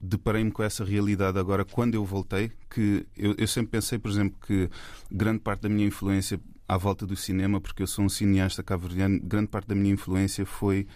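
A man's voice: fairly low pitch, flat delivery, brisk, a little mellow, dry recording. A man from Portugal, a filmmaker, talking in a casual interview with many fillers and restarts. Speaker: guest filmmaker.

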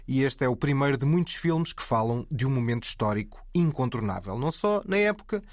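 A man co-hosts a film podcast in a brisk, precise way and talks fast. His voice sounds close and dry, with no room around it. He often corrects details carefully. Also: medium pitch, animated, no echo. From Spain. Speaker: podcast co-host. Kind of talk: casual film podcast chat.

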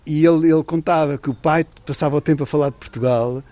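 A man's voice: a little high, fairly even intonation, fast talker, mellow, no room sound. A middle-aged man, an author and former correspondent, talking in a radio interview in a thoughtful, digressive way with many restarts.

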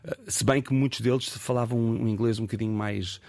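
A Portuguese man in his fifties with a European Portuguese accent, an actor talking reflectively in an interview, pausing and restarting as he thinks. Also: medium pitch, fairly even intonation, creaky, darkish tone, no echo. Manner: reflective, hesitant with many fillers and restarts. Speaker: actor and guest. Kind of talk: casual interview conversation.

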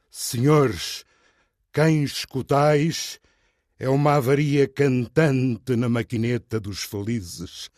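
A man, a poet reciting his own verse in a solemn, declamatory manner with deliberate pauses. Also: mid-range voice, darkish tone, no echo, clean studio sound. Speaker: poet reading own work. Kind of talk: poetry recital.

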